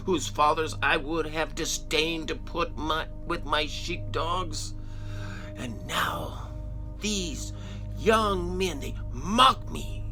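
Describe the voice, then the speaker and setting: A performer acting an anguished, broken patriarch lamenting to God in a dramatic scripture monologue. The delivery is extremely slow and halting, with wide theatrical swings in pitch between hushed and crying tones. Music plays clearly behind the voice. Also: exaggerated voice. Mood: bitter, wounded. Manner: halting, theatrical, swings from breathy whispers to cries.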